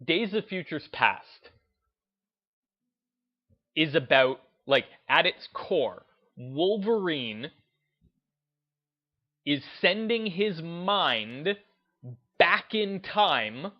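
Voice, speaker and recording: A man's voice: on the high side, very animated, drawn-out words, long pauses, highs cut off. A man giving casual opinion commentary as a video host, emphatic and repeating phrases for stress.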